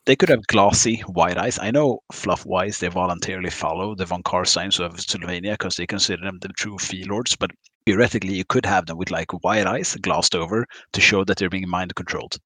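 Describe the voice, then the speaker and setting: A man's voice: deep voice, a little fast, bright, forceful tone, no echo. A man chatting on a hobby podcast with wry, teasing humor. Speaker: podcast co-host. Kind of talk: casual podcast chat.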